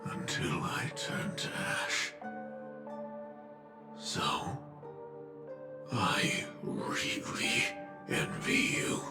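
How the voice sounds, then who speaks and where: An actor playing a weary, anguished man in a game, bitter and resolute, with halting, breathy delivery. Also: medium pitch, huge pitch swings, heavily acted. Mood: bitter, wistful. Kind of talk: dramatic game voice acting. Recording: echoey, music behind.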